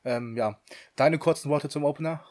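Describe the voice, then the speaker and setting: A man's voice: fairly high pitch, normal speed, a little mellow, dry recording. Chatty young man, dry close sound. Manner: chatty, mixes English slang into his talk, laughs often. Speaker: podcast co-host. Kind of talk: casual chat.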